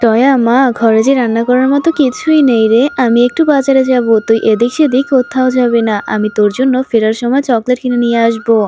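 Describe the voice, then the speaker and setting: A woman voicing a weary, poor mother in a children's cartoon, explaining and gently scolding in an exasperated but affectionate way. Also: fairly high pitch, fairly even intonation, brisk, hardly any pauses, faint music behind, close to an everyday voice. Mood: gentle refusing.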